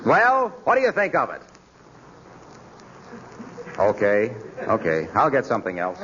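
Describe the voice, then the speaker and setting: Genial earnest announcer. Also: male voice, on the low side, wildly swooping pitch, a little slow, heavy background noise. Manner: earnest, genial, sing-song reading. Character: earnest, cheerful sponsor's announcer neighbor. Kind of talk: 1940s radio comedy acting. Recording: muffled 1940s radio broadcast.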